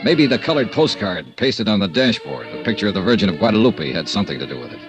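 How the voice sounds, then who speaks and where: A hardboiled investigator, terse narration, slightly low voice, very lively pitch, music under it. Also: male voice, quick pace. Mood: steady. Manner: terse, clipped and matter-of-fact. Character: hardboiled American male insurance investigator. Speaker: narrator and lead detective. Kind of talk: 1950s radio drama first-person narration. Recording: old radio transcription with music bed.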